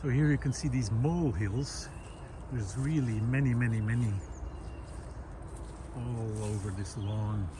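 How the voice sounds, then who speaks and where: A man with a medium-pitched voice talks to camera outdoors with clear ambient noise behind him. He explains his ideas reflectively, searching for words and often stopping mid-sentence. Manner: hesitant, thoughtful, pausing mid-sentence. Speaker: presenter of a nature and philosophy video series. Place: outdoors in a park.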